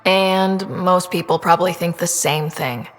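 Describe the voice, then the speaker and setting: Young female commander, lowish voice, level-headed, reassuring, game dialogue, reverberant, music behind. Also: fairly even intonation, unhurried, a bit bright. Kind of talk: video game character acting.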